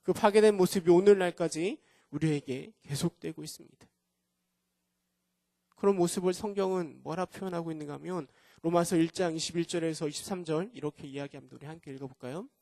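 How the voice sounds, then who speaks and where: A man preaching with a hoarse, cold-roughened voice that sits fairly high. He speaks earnestly and keeps asking the congregation rhetorical questions. The sound is dry and close, with no room echo. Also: fairly quick, a little mellow. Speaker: pastor. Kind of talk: sermon at a Friday prayer meeting. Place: church.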